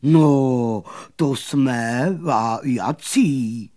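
A woman's voice: rumbling low, warm tone. A woman voicing a grumpy male bumblebee in a children's story, with a grumbling, mumbled, plain-spoken delivery. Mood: proud.

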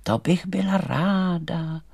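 A man voicing a small, gentle female poppy doll, worried but kind, in a storybook reading with tender, drawn-out words. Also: on the high side, gravelly, husky, dry recording, studio quality, pitched above the performer's own voice.

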